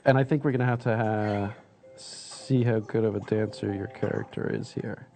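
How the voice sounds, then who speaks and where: A man's voice: on the low side, steady tone, normal speed, over music. A man giving an upbeat, step-by-step live product demo. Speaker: product demonstrator.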